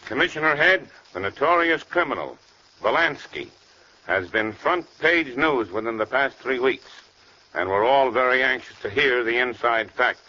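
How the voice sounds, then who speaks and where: Wanted: stiffly formal male commissioner, sharp bright voice, scripted vintage radio interview, muffled old recording. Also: medium pitch, expressive, normal speed. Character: formal former police commissioner, interviewing a sheriff.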